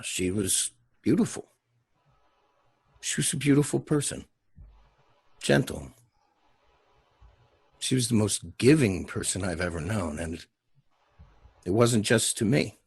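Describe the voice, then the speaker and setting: A man reading the part of an earnest, fatherly man in a drama, speaking haltingly and emphatically. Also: on the low side, lively intonation, gravelly, breathy edge, dry recording, heavily acted. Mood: tender, wistful. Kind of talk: dramatic table read.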